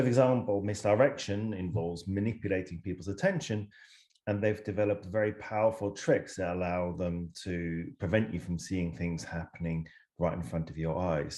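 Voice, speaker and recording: A man's voice: low-pitched, moderate pace, dark tone. A man from Switzerland, a researcher, explaining things thoughtfully in a casual interview over a video call, with hedging fillers. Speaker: psychology researcher and guest.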